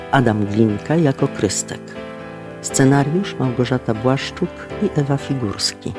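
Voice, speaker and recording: A man announcing audio drama credits in a measured, formal, scripted manner. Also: mid-range voice. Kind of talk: scripted narration. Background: guitar music.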